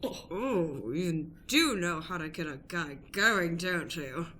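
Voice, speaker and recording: A woman voicing a genteel, flirtatious gentleman in an improvised comedic radio play, speaking in a courtly, coy way. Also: fairly low pitch, lively intonation, takes it slowly, a little hiss or hum, heavily acted. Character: genteel, flirtatious gentleman guest Mister Doobie.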